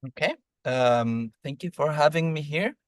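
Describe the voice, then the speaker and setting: A man from Argentina, a program lead, answering interview questions in a measured way with some ums. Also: fairly high pitch, dry recording, studio quality.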